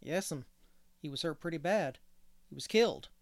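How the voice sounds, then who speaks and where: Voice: mid-range voice, animated, normal speed, creaky, no echo. A reader voicing a rustic, shaken farmhand lad with folksy country speech in an audiobook. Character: rustic scared hired boy John Henry.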